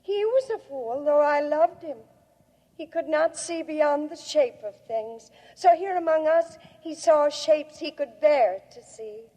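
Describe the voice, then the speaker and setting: Sad proud alien lady, slow high voice, solemn old radio drama. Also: warm tone. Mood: sorrowful, defiant. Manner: slow, solemn, measured delivery. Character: grieving alien woman of a hidden species, tender, proud. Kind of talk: 1950s radio drama acting. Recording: muffled old radio recording, long cavernous reverb.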